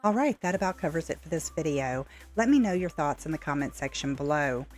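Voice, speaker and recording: A woman's voice: sits low, lively intonation, brisk. A woman narrator reading a scripted sign-off for an online video. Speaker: narrator of channel outro.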